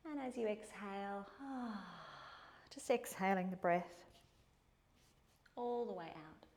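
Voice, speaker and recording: A woman teaching yoga and guided meditation in a calm, soothing way, with unhurried pauses between phrases. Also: medium pitch, a little hiss or hum. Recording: echoey room.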